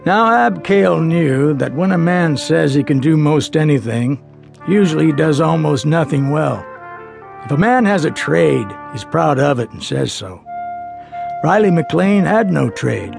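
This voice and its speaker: An actor narrating a western tale as a folksy storyteller, with an American accent and a gravelly voice. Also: male voice, on the high side, expressive, unhurried, exaggerated voice. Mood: knowing, measured. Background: music.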